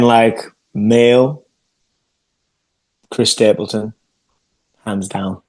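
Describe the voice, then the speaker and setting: Low, flat-voiced English man. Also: gravelly, slightly rough, no room sound, rough recording. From England. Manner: rambling, often restarting sentences. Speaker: singer-songwriter guest. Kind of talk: casual interview chat. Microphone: video call.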